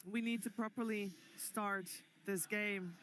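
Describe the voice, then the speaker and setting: Bright-voiced woman hosting an esports desk. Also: medium pitch, steady tone, measured pace. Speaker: esports panel host.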